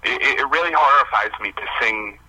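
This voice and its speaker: Hesitant man with a bright, forceful voice. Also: mid-range voice, a little fast, dry recording, poor audio. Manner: hesitant, self-deprecating. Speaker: musician, singer interviewed as guest. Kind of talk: casual radio interview.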